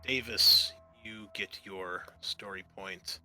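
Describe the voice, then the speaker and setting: A man hosting as game master, narrating a tabletop roleplaying game in a halting, conversational way. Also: on the low side, fairly even intonation, sharp tone, faint music behind.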